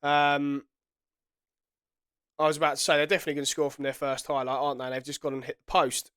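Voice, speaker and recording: A man's voice: a little high, little pitch movement, quick pace, a bit bright, clear, resonant tone, no room sound, clean studio sound. A man with a head cold giving chatty, self-correcting commentary while playing a football management game. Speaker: gaming YouTuber. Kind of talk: casual gameplay commentary.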